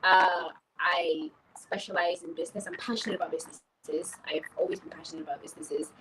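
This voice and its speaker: A woman's voice: on the low side, fairly quick, a bit bright, a little hiss or hum, rough recording. A woman from Tanzania and Cameroon, a French speaker, talking casually about herself in an interview. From grew up in Tanzania, born in Cameroon. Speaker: podcast host, journalist and entrepreneur.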